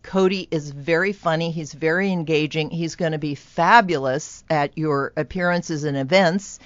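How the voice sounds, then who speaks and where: Low-voiced woman explaining a program. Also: normal speed, no room sound, dull audio. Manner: earnest, explanatory. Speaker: employment program representative, guest. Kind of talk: radio interview.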